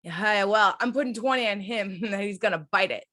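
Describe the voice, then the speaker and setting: A woman's voice: medium pitch, normal speed, a bit bright, close to an everyday voice. A woman reading casual character dialogue as a cast member in an amateur table read. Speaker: cast reader.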